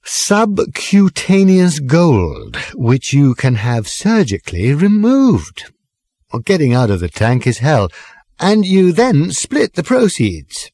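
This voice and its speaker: A man voicing a drunken, rambling, affronted storyteller, slurring and halting over his long words. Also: on the high side, huge pitch swings, a little mellow, dry recording, studio quality, voice pushed up, full character voice. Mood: slow, boastful, slurred.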